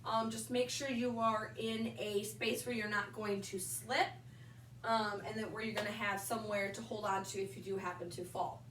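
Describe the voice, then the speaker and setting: A woman's voice: mid-range voice, steady tone, fairly bright tone, slight background noise. A young woman with a slightly nasal voice from allergies, leading a balance exercise class and calling out moves while she demonstrates. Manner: upbeat instructing cues, brief pauses between moves. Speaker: fitness instructor. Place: large indoor wellness room with wood floor. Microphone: far camera on a tripod. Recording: roomy echo, voice from far off.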